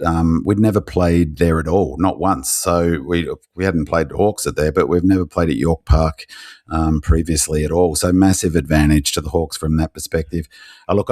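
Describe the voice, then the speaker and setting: A hoarse Australian man chatting casually on a sports podcast in a rambling, halting way, his voice croaky from yelling. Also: deep voice, dry recording, studio quality. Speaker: podcast co-host. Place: hotel room.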